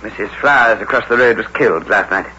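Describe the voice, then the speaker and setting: An actor playing a suave, dismissive pub landlord with an urbane, condescending manner in an old-time radio drama. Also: male voice, on the low side, fast, pushed and bright. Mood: brusque. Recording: dull, noisy vintage radio recording.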